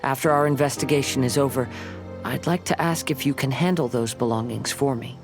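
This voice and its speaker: A man playing a calm, polite young wandering swordsman in a video game, speaking gently and with measured care. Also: medium pitch, music in the background, lightly acted. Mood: gentle, earnest.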